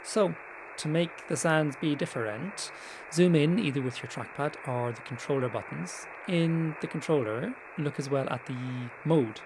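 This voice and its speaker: Casual man presenting, clear background noise. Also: fairly high pitch, normal speed. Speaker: tutorial presenter. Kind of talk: casual screen-share tutorial narration.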